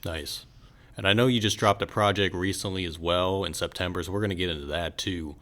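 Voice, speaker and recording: A man's voice: low-pitched, brisk, a bit bright. A man hosting an interview podcast, talking casually as he introduces and questions a guest.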